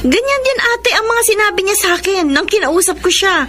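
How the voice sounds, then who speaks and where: A woman playing an anxious, conciliatory younger sister in a Filipino radio drama, with a pleading, gentle manner. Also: very high voice, lively intonation, fairly quick, over loud music. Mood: worried, resigned.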